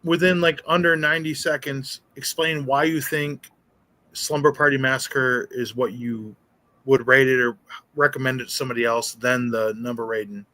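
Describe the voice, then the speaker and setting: A man's voice: medium pitch, fairly even intonation, moderate pace, sharp tone, no room sound. A man on a podcast, talking loosely and swearing, calling others dude and bro. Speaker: podcast co-host. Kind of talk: casual podcast chat.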